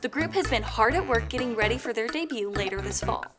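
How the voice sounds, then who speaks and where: High-pitched woman host over music. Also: animated, fast. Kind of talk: scripted narration.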